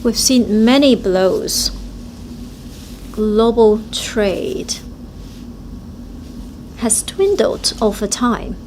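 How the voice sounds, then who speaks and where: Female simultaneous interpreter, slow and measured, speaking in short chunks. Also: on the high side, darkish tone, a little hiss or hum, low-quality recording. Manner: halting, short phrase-by-phrase delivery. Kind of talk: live interpretation of speeches.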